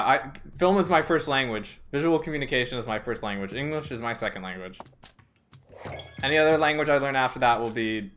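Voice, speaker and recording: A man's voice: mid-range voice, a bit bright. Rambling young man streaming a game. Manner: rambling, reads game text aloud, stumbles over names. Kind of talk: casual let's-play chat.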